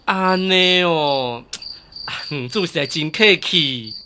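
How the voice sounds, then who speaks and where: An actor with a Taiwanese accent playing a solicitous, generous village gentleman in a radio drama. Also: fairly high pitch, slow, background noise. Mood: hospitable. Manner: solicitous, courteous. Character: generous, hospitable village gentleman, eager host.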